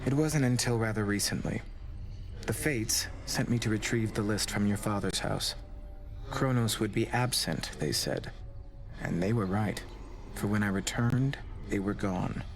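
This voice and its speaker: A calm, courtly god, formal and measured, with a somewhat low voice. Faint game music sits underneath. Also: male voice.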